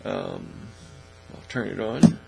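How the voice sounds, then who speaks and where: A man hosting a casual hands-on hobby demonstration in a folksy, informal, unhurried way, recorded in a small indoor workshop studio. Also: lowish voice, gravelly, poor audio. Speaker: host of a hobby workbench channel. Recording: steady mains hum, faint noise.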